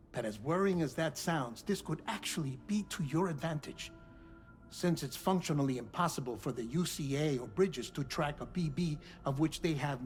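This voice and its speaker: A man acting an earnest, higher-voiced game scientist. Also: close to an everyday voice. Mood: reassuring. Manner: deliberate, formal, explanatory. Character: earnest, gentle middle-aged male scientist confiding in a friend. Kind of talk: cinematic video game acting. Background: music.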